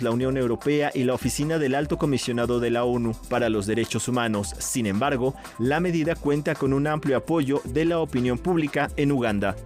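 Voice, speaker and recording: A man's voice: medium pitch, darkish tone. Man reading a formal news report.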